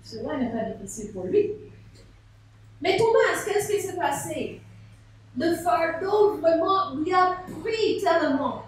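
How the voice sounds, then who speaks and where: A woman's voice: very high voice, very animated, slow pace, slight background noise. A woman pastor, preaching a sermon and telling a story with rhetorical questions. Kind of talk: sermon at a seminar. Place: large echoey church hall. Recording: echoey hall from far off.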